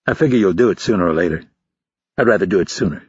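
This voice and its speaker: Man voicing a calm, husky young recruit. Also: low-pitched, fast, no room sound, muffled recording. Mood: matter-of-fact. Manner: calm, respectful, matter-of-fact. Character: confident, unflinching young male recruit of twenty-three. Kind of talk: audiobook character voice.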